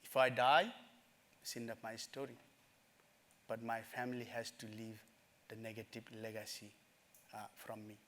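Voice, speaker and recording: A middle-aged man from Nepal, telling his life story in a summit talk, earnest and halting, with many fillers. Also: mid-range voice, little pitch movement, fairly bright tone. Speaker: speaker at a summit. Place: conference stage.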